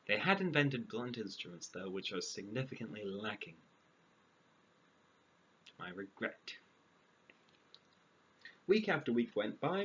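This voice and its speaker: A man reading a fairy tale aloud, breaking in with dry, sarcastic deadpan asides. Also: on the low side. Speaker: storyteller. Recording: dull lo-fi audio, clear background noise.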